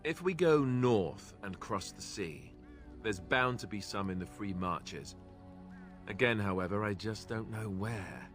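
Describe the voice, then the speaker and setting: A young warrior, lowish voice, earnest but hesitant, fantasy game, faint music. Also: male voice, animated, a little slow, a bit bright. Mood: uncertain, earnest. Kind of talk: fantasy video game acting.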